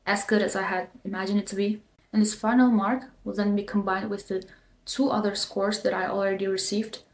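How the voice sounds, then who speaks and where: A young woman, not a native English speaker, talking casually as a design student vlogger, relaxed and full of fillers. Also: mid-range voice. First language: not English, not German. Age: young adult. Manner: relaxed, filler-heavy, with frequent likes and ums. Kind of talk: casual explanatory vlog. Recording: echoey room, faint background noise.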